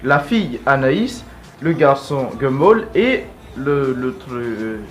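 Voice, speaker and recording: A man's voice: mid-range voice, very animated, slow pace. A male host giving casual, rambling and blunt fan commentary in an informal vlog. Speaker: video host.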